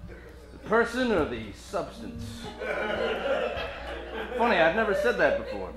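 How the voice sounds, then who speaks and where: Guy doing a tired boozy gumshoe, deadpan, slightly high voice, echoey radio play with music. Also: takes it slowly, full character voice. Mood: amused, suave. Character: world-weary, hard-drinking male private detective, wry and self-deprecating. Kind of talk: old-time radio detective parody acting.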